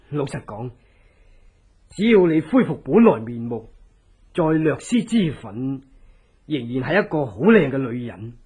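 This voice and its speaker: An actor playing a calculating, persuasive plotter in a Hong Kong Cantonese radio drama, speaking deliberately and theatrically. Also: male voice, mid-range voice, darkish tone, breathy edge, heavily acted. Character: calculating male plotter coaching a woman avenger.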